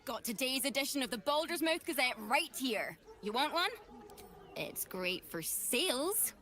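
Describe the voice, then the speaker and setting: Game role: pushy paper seller, fairly high, cheeky patter over light music. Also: female voice, big pitch swings, measured pace, bright, forceful tone, full character voice. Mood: pushy, chatty. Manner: chatty, salesy. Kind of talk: video game character acting.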